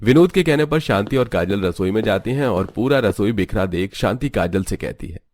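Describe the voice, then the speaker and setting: A man tells a scripted cartoon family story as narrator. His voice sits on the low side, and the sound is close and dry, free of reverb. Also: animated, moderate pace, darkish tone.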